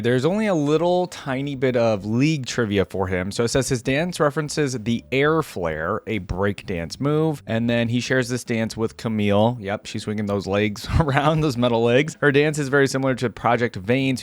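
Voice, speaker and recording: A man doing casual, informal reaction commentary as a video creator, thinking aloud and rambling as he goes. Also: mid-range voice.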